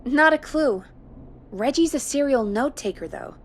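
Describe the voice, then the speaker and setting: An actress playing a frank, practical radio producer in a video game, talking in a matter-of-fact, slightly wry way. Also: female voice, high voice, animated, unhurried, a little hiss or hum. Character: practical, frank woman radio producer in 1980s small town. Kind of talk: video game voice acting, conversational.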